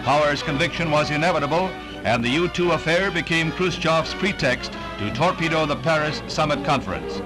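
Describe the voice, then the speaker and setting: Male American newsreel announcer, early 1960s. Higher-set voice, dramatic and authoritative, loud score underneath. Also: expressive, brisk, music in the background, poor audio. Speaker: newsreel narrator. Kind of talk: scripted 1960s newsreel narration. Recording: vintage 1960 newsreel soundtrack.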